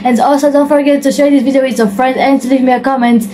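A young woman with a slightly high voice chats and jokes to her phone camera, held at arm's length. She keeps circling back to easy filler words. A faint background hiss sits under her voice in a small indoor room. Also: steady tone, quick pace, warm tone. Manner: chatty, joking, repeats filler words. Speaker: vlogger. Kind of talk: casual vlog chat to camera.

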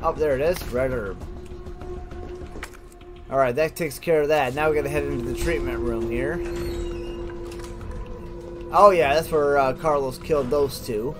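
A man's voice: medium pitch, a little slow, pauses often. A man doing casual gameplay commentary, thinking aloud and chatting informally to his viewers. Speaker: gamer, host of a let's play. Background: game music.

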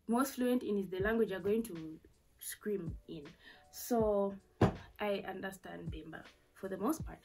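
A woman's voice: medium pitch, poor audio. A young woman with a Zambian accent, telling a personal story to camera in a casual, candid way, full of fillers and asides, in a small bedroom. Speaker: vlogger. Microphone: camera in front at desk distance.

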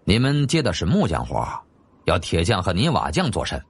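A man's voice: low voice, huge pitch swings, normal speed, creaky, husky, voice pushed down, heavily acted. A man voicing an authoritative male government official in an audiobook, commanding and inquisitive. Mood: questioning. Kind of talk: audiobook character acting.

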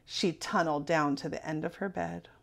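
A woman reading a children's storybook aloud in an expressive narrating style. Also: low-pitched, normal speed, creaky. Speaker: storybook reader.

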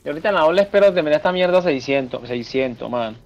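A man's voice: fairly high pitch, lively intonation, rapid-fire, lo-fi sound, soft background music. A Venezuelan man in casual voice chat during an online game, speaking in crude slang.

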